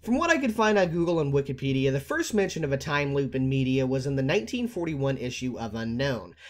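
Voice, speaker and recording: A man's voice: mid-range voice, very animated, fast. A man narrating a scripted video game explainer as a YouTuber, with formal, emphatic phrasing.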